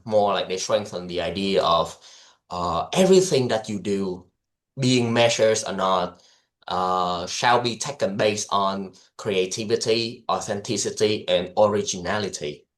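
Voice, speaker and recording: A man with a Vietnamese accent talks through ideas in a relaxed, rambling way. He speaks slowly, in a lowish voice, often pausing on "you know" and "like." It is a casual co-hosted discussion. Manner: loose, hesitant, many fillers, laughs between points.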